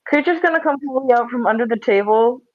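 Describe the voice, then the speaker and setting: A woman's voice: on the high side, fast, a loud crowd. A woman casually narrating her character's antics in a tabletop roleplay game. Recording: dull, lo-fi close microphone.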